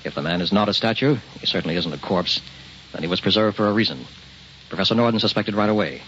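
A man's voice: on the low side, animated, fast talker, fairly bright tone. An actor playing a troubled middle-aged scientist and family man in an old-time radio drama. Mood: grave, troubled. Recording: muffled old radio recording with hiss.

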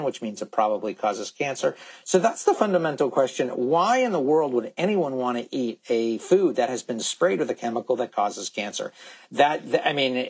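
A man speaking as a guest expert in a candid interview, halting and repeating words as he insistently makes his points. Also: medium pitch, breathy edge. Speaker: guest expert on organic food.

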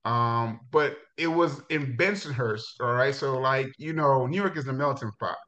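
A middle-aged man from Jamaica, a high school football coach, telling his life story in a relaxed interview with laughs and restarts. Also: moderate pace. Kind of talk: casual interview. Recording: dull lo-fi sound.

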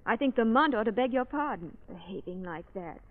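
A woman's voice: a little high, animated, a little fast, natural voice. An actress playing an exasperated, concerned society lady in a 1940s radio drama, scolding and fretful. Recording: tinny old radio recording.

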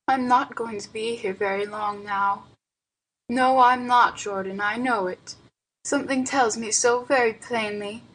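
A frail old woman from a storybook, acted out by a female narrator, with a husky voice set fairly high. She is wistful and plaintive, forever pleading as if close to tears. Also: rough recording. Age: older.

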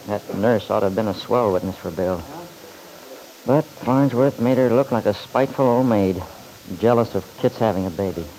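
An actor playing a plain-spoken, worried and sceptical friend, talking informally in an old-time radio drama. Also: male voice, on the low side, moderate pace, dark tone, natural voice, rough recording. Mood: doubtful, worried.

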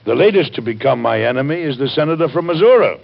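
A muffled old radio recording carries an American president in a 1940s drama. He is a warm, earnest statesman with a wry streak, speaking emphatically and theatrically at a middling pitch. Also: animated, fast talker, creaky. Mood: wryly amused. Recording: dull vintage radio recording.

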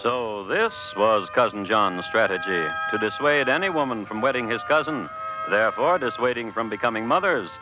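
A man's voice: fairly low pitch, expressive, normal speed, loud music behind the voice, lightly acted. A man playing a wry, genteel storyteller, narrating an old radio drama in droll, literary phrasing. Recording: dull vintage radio recording.

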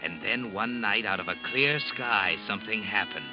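An actor playing an ominous, knowing narrator, telling a suspense story in the second person with an insinuating manner, as in old-time radio. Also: male voice, fairly low pitch, moderate pace, close to an everyday voice. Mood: ominous, suspenseful. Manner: insinuating, dramatic. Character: ominous, knowing male narrator taunting the guilty protagonist. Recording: muffled vintage radio recording with music. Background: dramatic music.

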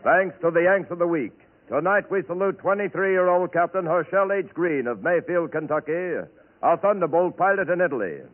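A man's voice: high-pitched, expressive, moderate pace. A man with an American accent reading a scripted tribute as a 1940s radio announcer, on a tinny old recording.

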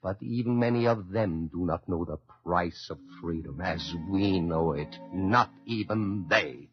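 A man's voice: low-pitched, measured pace. An actor in a 1940s radio drama playing a defiant immigrant American man addressing a dictator, declamatory and impassioned. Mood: solemn. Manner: impassioned, declamatory, building to shouts. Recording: lo-fi 1940s radio broadcast with orchestral music.

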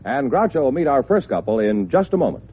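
A man's voice: medium pitch, very animated, fast, narrow, tinny audio. A man announcing a 1950s radio quiz show in the formal presenting style of that era. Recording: thin old radio broadcast recording.

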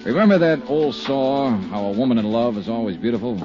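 A man's voice: mid-range voice, lively intonation, a little fast, dark tone, soft background music, natural voice. An actor playing a wry, hard-boiled male insurance investigator who narrates and speaks in dry, easygoing tones, in 1950s radio drama style. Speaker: narrator and lead. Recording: muffled 1950s radio broadcast.

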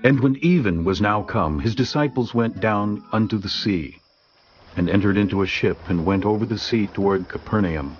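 A man narrating scripture in a steady, formal reading voice. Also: on the low side, normal speed, lo-fi sound, slight background noise. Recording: music underneath.

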